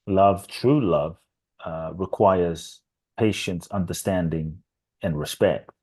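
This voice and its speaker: A man's voice: deep voice, takes it slowly, darkish tone, husky. A man speaking as a podcast guest, a transformational teacher giving earnest answers that build up through repetition. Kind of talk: reflective interview answers.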